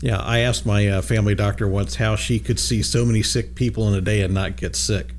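A man's voice: lowish voice, fairly even intonation, a little fast, a little hiss or hum. A man hosting a financial radio show from a studio, chatting casually with many fillers and restarts. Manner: easygoing, frequent fillers and restarts.

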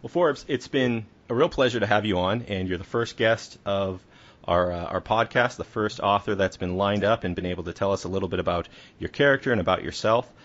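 Low-voiced man interviewing, muffled sound. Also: fairly quick, fairly bright tone. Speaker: podcast interviewer. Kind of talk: casual interview. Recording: muffled lo-fi recording.

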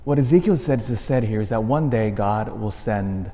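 A man, a priest, giving a homily with a storytelling manner, dropping to a hush and rising to animated emphasis. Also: on the low side, moderate pace. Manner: storytelling, swings from hushed to animated. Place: church. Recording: long reverb of a church.

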